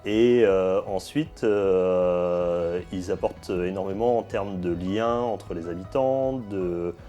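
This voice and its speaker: A man in his late thirties speaks with a deep, warm tone. He gives a testimonial as a local official, with faint music behind him. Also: slow. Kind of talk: interview testimonial.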